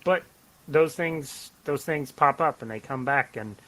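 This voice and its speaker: A man talking casually as a writer on a podcast, rambling and self-deprecating in an animated way. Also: fairly high pitch, a little slow, rough recording. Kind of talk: casual podcast chat about writing. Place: home office, on a remote call.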